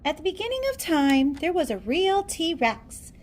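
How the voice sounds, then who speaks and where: A woman narrates a children's story aloud. Her voice is high and swoops widely in pitch, and she takes her time, shaping phrases theatrically. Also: sing-song, a little slow, slight background noise. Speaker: storybook reader.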